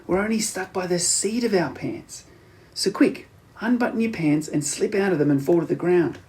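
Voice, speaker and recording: A man acts out a sensible little storybook boy with a hushed, urgent delivery. The voice is raised a little and sounds conspiratorial. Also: medium pitch, moderate pace, pitched above the performer's own voice, natural voice. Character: quick-witted little boy urgently sharing an escape plan.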